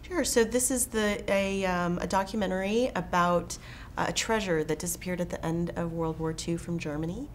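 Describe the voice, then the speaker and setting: A woman's voice: on the low side, fairly even intonation, moderate pace, few pauses, a little hiss or hum. An enthusiastic American woman from Texas, a documentary filmmaker talking conversationally as a festival interview guest. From Texas, United States.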